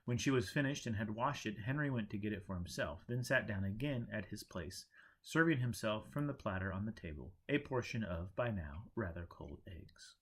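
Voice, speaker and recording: A man's voice: lowish voice, lively intonation, moderate pace, fairly bright tone, husky. A man reading a story aloud in a scripted narration style, as an audiobook reader.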